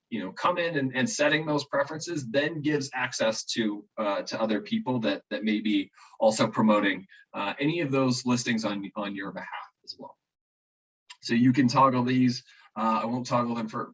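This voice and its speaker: A man giving a live online software walkthrough as a trainer, haltingly, repeating and restarting his phrases. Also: medium pitch, breathy edge. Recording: muffled, distant mic, online meeting.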